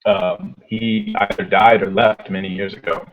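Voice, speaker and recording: A man's voice: fairly low pitch, sing-song, a little slow, vocal fry, dull audio. A man running a tabletop game as game master over an online call, slightly nasal, with choppy robotic connection glitches. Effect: choppy, robotic internet connection glitches. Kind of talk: tabletop role-play narration and casual chat over an online call.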